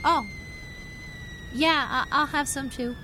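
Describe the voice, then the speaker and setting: A woman playing a curious, sharp young girl detective in an old-time radio mystery. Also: high-pitched, steady tone, slow pace, full character voice. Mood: preoccupied. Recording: noisy recording with hum.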